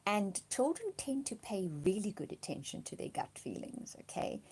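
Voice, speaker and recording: A woman doing a monthly tarot reading, slightly hoarse from a cold, speaking softly and reassuringly, dropping to a whisper as she reads the cards. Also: medium pitch, very animated, moderate pace. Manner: soft-spoken, often drops to a whisper reading cards; reassuring, often checks in with "okay?". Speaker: tarot card reader.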